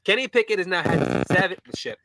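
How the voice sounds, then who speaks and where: A man co-hosting a casual sports podcast, animated and joking, often correcting himself mid-sentence. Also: high voice, fairly quick, heavy background noise. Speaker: podcast co-host.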